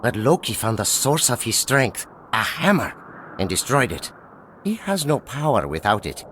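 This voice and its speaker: A man's voice: mid-range voice, very animated, normal speed, fairly bright tone, slight background noise. An actor playing a villain's henchman in a video game cutscene, reporting tersely to his master. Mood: scheming.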